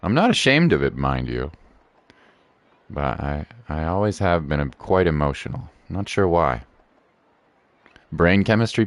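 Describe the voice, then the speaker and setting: Low-voiced man rambling on a game stream. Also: no echo. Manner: rambling, joking, slangy. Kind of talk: casual live gaming commentary. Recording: game music behind voice.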